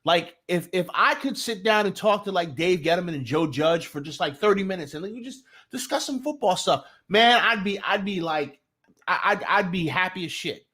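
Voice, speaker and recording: A man's voice: high voice, animated, moderate pace, pushed and bright. A young man from New Jersey with a Jersey accent, hosting a casual live sports talk stream. He talks loosely and profanely, restarting sentences and greeting his chat.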